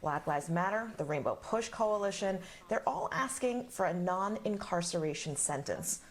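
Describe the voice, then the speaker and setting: A woman's voice: lowish voice, animated, normal speed. A woman working as a news anchor, presenting and interviewing in a live broadcast style.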